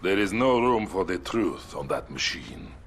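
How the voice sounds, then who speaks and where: A gravelly colonel. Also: male voice, sits low, very animated, measured pace, a bit bright, slight background noise, full character voice. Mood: curt. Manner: curt and commanding. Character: gruff older male Soviet colonel.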